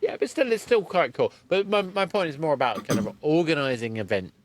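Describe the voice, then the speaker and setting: A man's voice: a little high, lively intonation, fairly quick. A man hosting a conversational podcast interview, often repeating and rephrasing his words as he goes. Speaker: podcast host.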